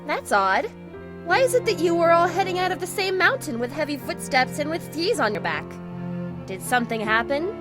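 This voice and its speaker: Woman doing a cheerful high bouncy schoolgirl, breezy and folksy, audio drama with music behind. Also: moderate pace. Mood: curious, amused. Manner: energetic, folksy, laughs easily. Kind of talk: audio drama character acting.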